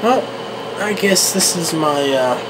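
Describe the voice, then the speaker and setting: A man's voice: fairly high pitch, expressive, slow pace. Rambling young man from New Jersey. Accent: central New Jersey. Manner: rambling, with many restarts and fillers, laughing at himself. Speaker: vlogger. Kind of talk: casual solo vlog monologue. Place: room at home, talking to a camera on a tripod. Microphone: camera microphone. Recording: noisy home camera recording.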